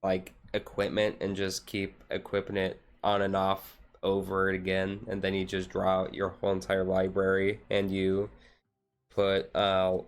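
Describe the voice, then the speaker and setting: A man streamer chatting casually in a relaxed, rambling way on a chill hobby stream. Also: low-pitched, unhurried, clean tone.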